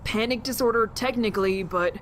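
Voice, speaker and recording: Woman voicing a timid, high-voiced teenage boy. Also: brisk, a little hiss or hum, close to an everyday voice. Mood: nervous. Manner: hesitant, apologetic.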